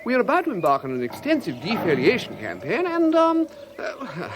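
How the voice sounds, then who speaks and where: Pompous bureaucrat, wildly swooping delivery. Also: male voice, high-pitched, huge pitch swings, brisk, background noise, exaggerated voice. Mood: smugly reasonable. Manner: hesitant officialese, smugly reasonable. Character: pompous, blithely absurd male bureaucrat explaining crazy policy. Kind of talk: comic science fiction radio drama.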